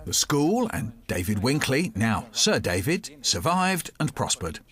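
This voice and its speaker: A man's voice: mid-range voice, big pitch swings, measured pace. A man hosting a discussion programme about books, presenting and putting questions to guests. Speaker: programme host.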